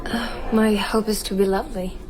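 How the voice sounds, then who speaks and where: An actress playing a wistful, candid young heiress in a radio drama, speaking earnestly and hesitantly with a private unease. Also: female voice, medium pitch, steady tone, moderate pace, a crowd in the background, close to an everyday voice, low-quality recording. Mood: polite, candid. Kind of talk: radio drama dialogue and inner monologue.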